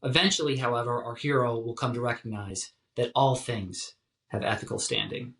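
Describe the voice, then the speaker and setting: A man delivering a scripted academic lecture in a measured, articulate, emphatic way, like a professor. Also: medium pitch, a bit bright. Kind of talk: scripted philosophy lecture narration. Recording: roomy, echoing sound.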